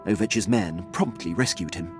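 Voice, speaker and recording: An actor playing a loyal, deferential Imperial military officer in a video game, reporting in a crisp, formal manner. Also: male voice, low voice, normal speed, music in the background, lightly acted. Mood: earnest, formal. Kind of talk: scripted video game acting.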